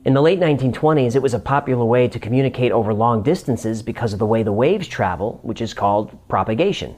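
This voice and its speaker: A man's voice: medium pitch, lively intonation, fast talker, a little mellow. A man hosting a scripted explainer, narrating it in a conversational way with room for comic banter. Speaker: host.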